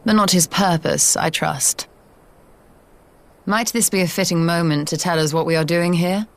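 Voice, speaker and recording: An actress voicing a composed, businesslike woman in a video game cutscene, with formal, measured, archaic phrasing. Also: fairly low pitch, steady tone, vocal fry. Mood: cool, probing.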